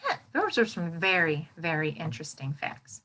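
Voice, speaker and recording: Animated, bright-voiced American woman teaching children. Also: low voice, normal speed. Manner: animated, exclaiming, asks listeners questions. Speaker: elementary teacher reading aloud to children. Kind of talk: children's read-aloud lesson with commentary.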